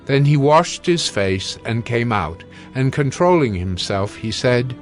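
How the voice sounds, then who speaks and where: A man's voice: mid-range voice, very animated, measured pace, faint music behind. Male narrator reading scripture.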